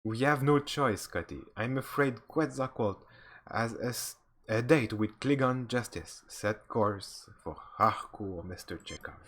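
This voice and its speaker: A man's voice: medium pitch, measured pace, fairly bright tone, close to an everyday voice. A man reading as a firm starship captain. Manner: reads aloud plainly, sometimes stumbling.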